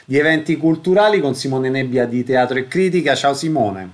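A man hosting a radio show, introducing a segment in a brisk announcing style. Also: mid-range voice, animated. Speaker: radio host.

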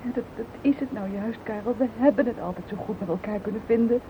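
An actress playing a fragile, lonely widow in a radio drama, with a Netherlands Dutch accent and a halting, pleading way of talking. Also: female voice, fairly high pitch, close to an everyday voice. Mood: sad, hesitant. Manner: halting, pleading, repeats words. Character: fragile, lonely widowed woman, timid and anguished. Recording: tinny, noisy old radio recording.

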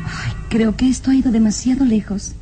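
A woman's voice: fairly high pitch, steady tone, brisk, warm tone, faint music behind, lightly acted. An actress playing a doubtful young aristocratic woman in an old radio serial, wistful and dramatic. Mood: anxious regret.

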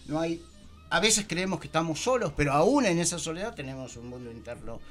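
An Argentine man hosting a radio talk show, speaking informally and haltingly as he searches for words in a discussion. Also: a little high, lively intonation, a bit bright. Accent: Argentine Spanish. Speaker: radio host. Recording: music under the voice. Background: music.

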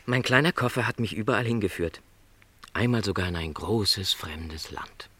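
A middle-aged travelling salesman in a literary radio play. His voice is low, recorded very dry. He is a lonely, gentle dreamer who talks reflectively, with resignation and a touch of apology. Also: lively intonation, moderate pace, slightly rough, no echo, exaggerated voice. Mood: dreamy, defending.

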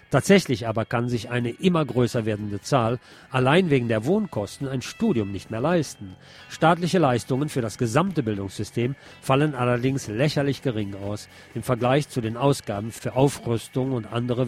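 A man's voice: medium pitch, a little mellow. A man hosting a radio programme, reading a scripted political commentary. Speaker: radio host.

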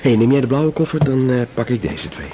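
An actor playing an affectionate, travel-weary bridegroom in a naturalistic 1980s radio drama. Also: male voice, medium pitch, a little fast, lightly acted. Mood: affectionate, tired. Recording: busy crowd behind, radio drama.

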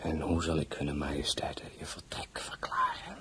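An actor playing a weary, authoritative crown prince in a radio drama, speaking in a grave, formal and declamatory way. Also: male voice, very deep voice, huge pitch swings, normal speed, whispered, full character voice. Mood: weary, pleading. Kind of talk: dramatized novel, radio play acting. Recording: clearly noisy recording.

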